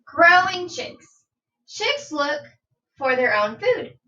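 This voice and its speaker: Female primary teacher for children's read-aloud: bright, unhurried, instructive delivery, slightly distant from the mic. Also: extremely high pitch, sing-song. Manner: deliberate, instructive, asks listeners questions. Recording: dull, roomy sound, distant from mic.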